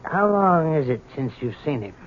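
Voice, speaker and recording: An actor playing a humane, persuasive prison doctor with a hesitant, coaxing manner in an old-time radio drama. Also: male voice, fairly high pitch, sing-song, moderate pace, lo-fi sound. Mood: gently insistent. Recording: thin, noisy old radio broadcast.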